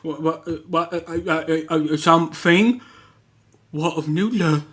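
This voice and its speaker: A woman's voice: deep voice, unhurried, fairly bright tone, husky, full character voice. A woman playing a nervous, superstitious pirate bosun in an audio drama, stammering and dithering. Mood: panicked.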